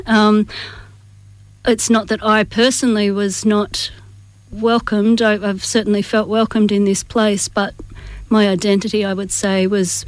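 A woman with an Australian accent giving reflective, articulate interview answers in a measured way. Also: medium pitch, no echo. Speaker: community group member, interview guest.

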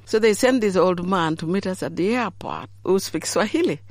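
A woman's voice: lowish voice, expressive, moderate pace, dry recording. A middle-aged woman from Uganda telling stories in an interview, laughing often and acting out the voices of people she quotes. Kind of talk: storytelling in an interview.

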